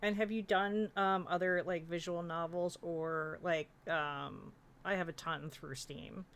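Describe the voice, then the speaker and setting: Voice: mid-range voice, fairly even intonation, measured pace, fairly bright tone. An audience member casually asking the panel speaker a question.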